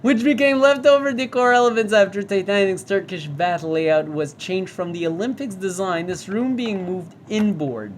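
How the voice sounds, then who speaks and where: A woman's voice: mid-range voice, normal speed, hardly any pauses, slight background noise. A woman giving casual, profane commentary while playing a VR demo, often reading in-game signs aloud. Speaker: VR game reviewer.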